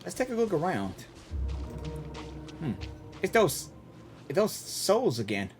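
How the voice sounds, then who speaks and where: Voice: mid-range voice, sing-song, takes it slowly, music in the background. A game streamer giving casual reaction commentary and reading dialogue aloud.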